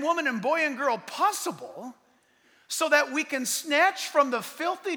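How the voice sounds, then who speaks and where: Fervent high-voiced male preacher. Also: long reverb tail. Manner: fervent preaching, swings from shouts to hushed asides. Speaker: pastor. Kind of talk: sermon.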